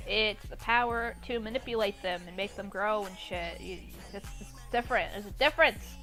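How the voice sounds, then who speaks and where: A woman's voice: mid-range voice, moderate pace, sharp tone. A young woman casually reading and mocking fan fiction, with an irreverent, sweary, giggly manner. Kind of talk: casual commentary on a read-aloud story. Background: background music.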